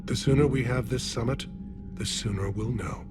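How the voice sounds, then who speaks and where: A man acts as an alien military leader in a science fiction game. He speaks at a middle pitch, grave and courteous, with formal, measured phrasing. Music plays clearly behind him. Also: close to an everyday voice. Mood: grave, polite. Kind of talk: video game voice acting.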